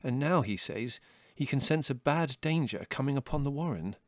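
A man's voice: mid-range voice, animated, moderate pace, no room sound, clean studio sound, pitched above the performer's own voice, natural voice. A man voicing an earnest young rabbit in a storybook, hesitant and deferential, looking out for his brother. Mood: hesitant, respectful.

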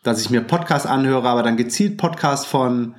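A man's voice: medium pitch, normal speed. A man hosting a casual podcast monologue, enthusiastic and slangy, with frequent filler sounds and a lingering cold. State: has a cold. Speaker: podcast host. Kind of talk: casual podcast monologue, reading out listener comments.

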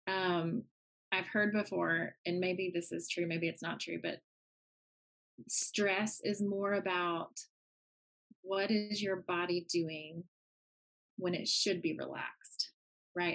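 A woman's voice: on the low side, vocal fry, low-quality recording. A woman co-hosting a podcast, working her way loosely through a long interview question. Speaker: podcast co-host.

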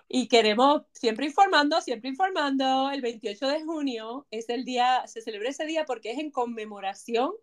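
Bright high-pitched woman host, jokey and talkative, casual podcast chat. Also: unhurried. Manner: chatty, jokey, reads facts aloud.

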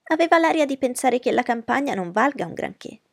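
A woman voicing a fussy, boastful middle-aged mother who speaks in a high, effusive way, with a gravelly, husky voice. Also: animated, brisk, no room sound, clean studio sound. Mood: gushing. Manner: shrill and effusive.

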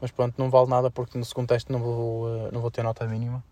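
Young guy w european portuguese accent, talks fast and kinda halting, slangy podcast chat, dry sound. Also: mid-range voice, monotone, dark tone, no echo. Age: young adult. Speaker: podcast co-host. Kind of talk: casual student podcast chat.

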